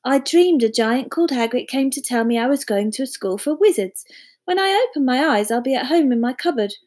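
A woman's voice: high voice, animated, fairly quick, a little mellow. A woman voicing an unsure, wondering young boy in a storybook, speaking hesitantly and full of questions. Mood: gloomy, mumbling.

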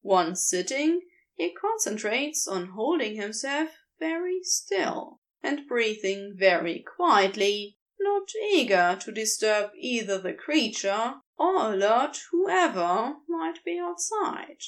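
A woman reading a fantasy story aloud in measured, expressive narration. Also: fairly high pitch. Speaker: podfic reader.

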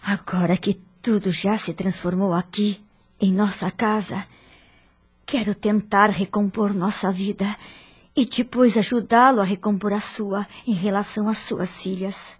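An actress playing a dignified, forgiving middle-aged wife in a radio drama, with a Brazilian accent and an earnest, formal delivery. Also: female voice, mid-range voice, fairly even intonation, measured pace, slightly rough. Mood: earnest, resolute.

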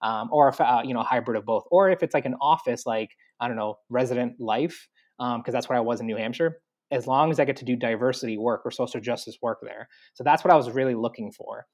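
A man's voice: mid-range voice, quick pace. A man recounts his career moves in a loose, chatty way, leaning on likes and you-knows as he goes. His voice sits in an ordinary middle range. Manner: chatty, many likes and fillers, acts out his own thoughts. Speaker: guest, university staff. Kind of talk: conversational interview, telling his career path.

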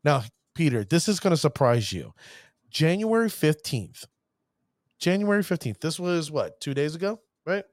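A man's voice: on the high side, big pitch swings, normal speed, no room sound, clean studio sound. A man hosting a card-game show, talking with showman flourishes and stumbling over player names. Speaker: podcast host. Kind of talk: hype-filled casual show talk.

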